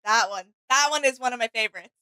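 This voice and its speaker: A woman's voice: on the high side, fast, raised voice, crowd noise, background noise. A young woman co-hosting a reaction video, talking casually in a small room. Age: young adult. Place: small indoor room with gaming setup.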